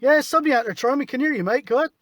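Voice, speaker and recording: A man's voice: very high voice, rapid-fire, heavy background noise. A man chatting casually and matily as he runs a CB radio session. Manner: informal, matey. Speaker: CB radio operator, host. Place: outdoors at a hilltop spot, mobile radio setup.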